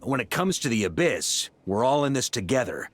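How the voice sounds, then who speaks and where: An actor playing a bold, easygoing knight commander in a video game, giving commands in a relaxed, friendly way. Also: male voice, mid-range voice, normal speed. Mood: steady command. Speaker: Grandmaster of a knightly order.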